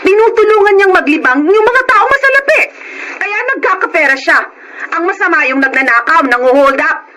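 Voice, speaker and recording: A woman's voice: very high voice, expressive, brisk, bright, forceful tone, heavily acted. A woman playing a nagging, demanding wife and mother in an emotional Filipino radio drama. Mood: reproachful. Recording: noisy radio drama recording.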